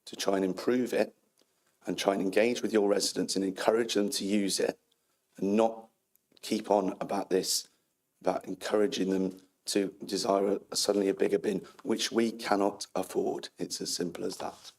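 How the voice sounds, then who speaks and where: A man on a council cabinet, hoarse from losing his voice, answering a public question in a firm, measured way. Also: low-pitched, level tone, darkish tone. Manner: firm, measured, ends dismissive.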